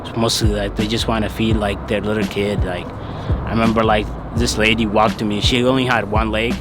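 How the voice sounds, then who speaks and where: A man's voice: fairly low pitch, level tone, a little fast, over music. A young man from Ethiopia telling his own story in a loose, hesitant way, full of fillers. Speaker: interviewee. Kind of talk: casual personal storytelling.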